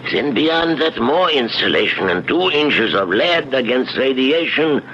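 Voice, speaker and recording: An actor playing a confident, precise doctor-scientist in a measured, lecturing radio drama style. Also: male voice, medium pitch, very animated, a bit bright. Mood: precise, explanatory. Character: confident, precise male doctor-scientist explaining his machine. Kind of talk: old-time radio drama acting. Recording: dull, noisy 1970s radio recording.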